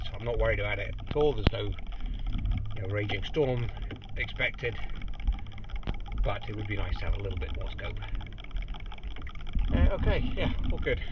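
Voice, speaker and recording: A man in his fifties, chatting casually to camera while sailing alone, rambling with lots of ums and pauses. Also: lo-fi sound. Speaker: solo sailing vlogger. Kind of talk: casual running commentary to camera. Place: outdoors on a sailboat on open water. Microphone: action camera on the boat. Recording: wind and boat engine rumble behind.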